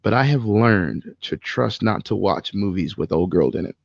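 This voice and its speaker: A man's voice: on the low side, expressive, normal speed, vocal fry, no room sound, dull audio. A man co-hosting a casual podcast chat about movies, speaking frankly in informal slang with some swearing. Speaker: podcast co-host.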